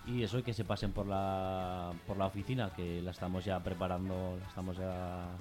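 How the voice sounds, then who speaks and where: A man's voice: deep voice, little pitch movement, moderate pace, music in the background. A man with a Peninsular Spanish accent speaking informally as an activist guest in a radio interview, hesitating, rambling and repeating himself.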